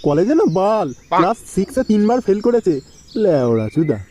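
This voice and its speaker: A man's voice: high voice, very animated, normal speed, heavy background noise. A man playing a fussy, scolding middle-aged father in a comic cartoon, bossy and slangy.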